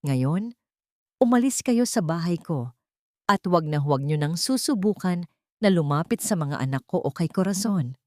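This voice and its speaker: Woman voicing a cold firm rich dad, steady and threatening, dry up-close sound. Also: fairly low pitch, normal speed, no echo, natural voice. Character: wealthy young father, cold and protective.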